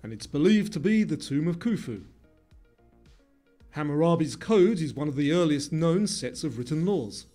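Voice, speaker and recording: A man's voice: fairly high pitch, expressive, normal speed, warm tone. A middle-aged man reading scripted quiz narration as the presenter. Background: background music.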